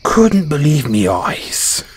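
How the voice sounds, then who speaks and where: A man's voice: on the high side, huge pitch swings, takes it slowly, slight background noise, full character voice. A man playing a kind, modest dwarven blacksmith in a fantasy audio roleplay, talking in a folksy, hesitant storyteller's way to a companion. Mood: fond wonder. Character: kind, modest, battle-scarred dwarven blacksmith, hearty adventurer.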